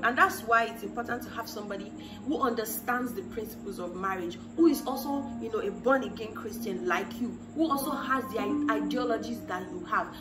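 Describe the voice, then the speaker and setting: A woman in her thirties gives earnest, sermon-like advice straight to the camera and repeats her key lines for weight. Her voice sits a little high. She sounds distant in a roomy space, with music playing behind her. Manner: earnest, preachy, repeats phrases for emphasis, acts out quoted voices. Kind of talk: direct-to-camera advice talk. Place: small room against a plain wall. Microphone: camera in front, chest-up framing.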